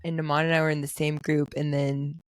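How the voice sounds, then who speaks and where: A woman's voice: low-pitched, level tone, a little fast, no room sound, studio quality. A woman hosting a podcast in a casual chat, teasing her guests and laughing often. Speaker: podcast host.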